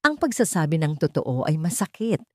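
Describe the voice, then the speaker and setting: A woman's voice: sits low, very animated, moderate pace, warm tone, no echo, studio quality. A woman voicing a desperate, proud wealthy father in an audio story, anxious and guarded. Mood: worried, hesitant. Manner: hesitant, guarded.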